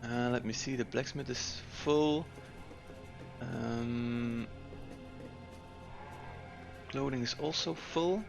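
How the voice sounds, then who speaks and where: A man narrates a city-building game in a mid-pitched, everyday voice, thinking aloud at an unhurried pace with plenty of ums and uhs. Soft game music plays faintly beneath his commentary. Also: creaky. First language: Dutch. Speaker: gameplay commentator. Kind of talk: casual let's-play commentary.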